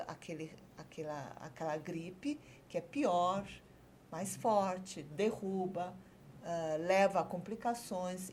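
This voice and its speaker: A woman doctor, a guest on a TV health talk show, explaining calmly and pausing to search for her words. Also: on the low side, a little hiss or hum. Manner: measured, searching for words, many fillers.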